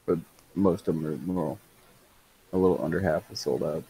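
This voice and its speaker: A man's voice: low voice, moderate pace, creaky, warm tone, low-quality recording. A man chatting casually, mostly giving short agreeing replies. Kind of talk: casual chat.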